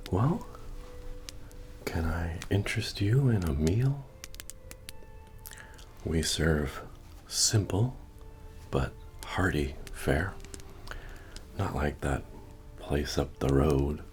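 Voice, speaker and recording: A man playing a friendly, humble medieval innkeeper in a fantasy ASMR roleplay, speaking softly and often near a whisper as he tends to a guest. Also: exaggerated voice. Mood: friendly, chatty. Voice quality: deep, soft, often dropping to whisper. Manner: unhurried, hospitable, murmuring to a guest. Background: soft bard music.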